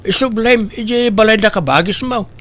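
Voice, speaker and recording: A man's voice: high-pitched, normal speed, a bit bright. A man with an Ilocano accent acting in a comedy drama, speaking in a scolding, reproachful way. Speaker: drama voice actor, husband.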